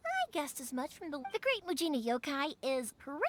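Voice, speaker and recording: A woman's voice: high voice, sing-song, brisk, slight background noise. A woman voicing a bubbly, big-hearted young fireworks maker in an anime-style video game, breezy, casual and encouraging.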